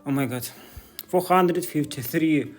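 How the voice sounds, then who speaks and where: Russian guy in his 30s rambling slow thru shops on his phone, light guitar music behind. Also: on the high side. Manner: curious, chatty, broken English. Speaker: vlogger. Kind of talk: casual walking vlog. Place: stores in the city.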